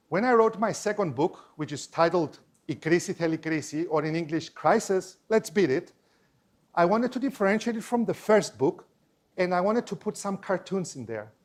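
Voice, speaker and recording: Cypriot man with a higher voice, speaker at a live talk, animated storyteller who acts out the dialogue. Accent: Cypriot Greek. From Cyprus. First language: Greek. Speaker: public speaker.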